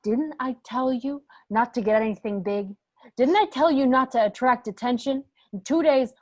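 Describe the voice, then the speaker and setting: A foul-mouthed, exasperated mob boss, acted by a woman in a crime radio play. Her voice is a bit high, brash and emphatic, recorded very dry. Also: dry recording.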